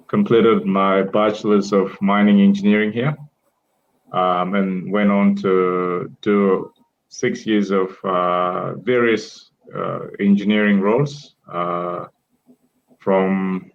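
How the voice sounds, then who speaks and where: A man in his thirties from Ethiopia joins an interview over a video call. His voice sits low, and he speaks slowly with hesitant fillers. The call audio is dull and lo-fi. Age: 30s. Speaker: guest entrepreneur. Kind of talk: online interview.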